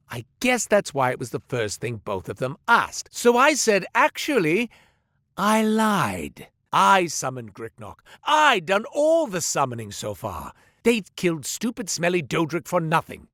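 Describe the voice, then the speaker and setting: An actor playing a sly, smooth-talking male merchant who spins tales while selling gear, in a game. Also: mid-range voice, a bit bright, no room sound, studio quality. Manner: theatrical, salesman patter, wry. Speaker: shopkeeper narrating a tale. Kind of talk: studio game voice lines. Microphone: close studio mic.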